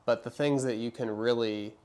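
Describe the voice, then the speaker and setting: Guy physical therapist talking casually to a patient, mid pitched voice, reassuring, keeps checking in. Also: steady tone, normal speed, a little mellow. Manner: reassuring, checks understanding often. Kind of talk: casual explanatory consultation talk with a patient.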